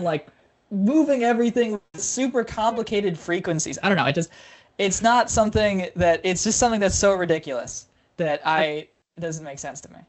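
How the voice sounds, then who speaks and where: A young adult man talking as a guest in a casual conversation, with a loose manner full of "like" fillers. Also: sits high. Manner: casual, filler-heavy with frequent "like". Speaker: guest, social media creator.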